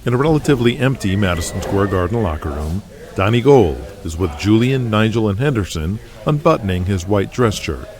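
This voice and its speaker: A man's voice: lowish voice, lively intonation, brisk, darkish tone. A man reading scripted dramatic narration in the present tense, setting scenes like a storyteller. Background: music under the voice.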